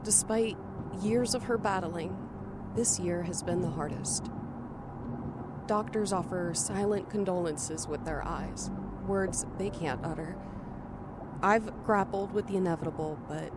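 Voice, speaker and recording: A woman playing an anxious, grieving daughter who narrates her own thriller in a somber, measured, introspective way. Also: on the low side, heavy background noise, close to an everyday voice. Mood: somber, weary. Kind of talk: dramatic first-person audio drama narration.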